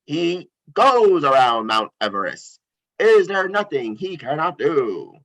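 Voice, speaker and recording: A man playing a grand, excited newsreel announcer in a film table read, with a declamatory, showy delivery. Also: a little high, takes it slowly, exaggerated voice, rough recording.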